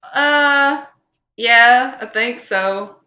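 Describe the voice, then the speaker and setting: A woman's voice: fairly high pitch, very slow, pushed and bright, dull audio. A woman co-hosting a comedy podcast, talking casually and reading fan fiction aloud. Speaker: podcast co-host.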